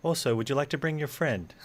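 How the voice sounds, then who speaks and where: A man giving casual, improvised game commentary as a streamer, with a wry, joking, play-acting manner. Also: medium pitch.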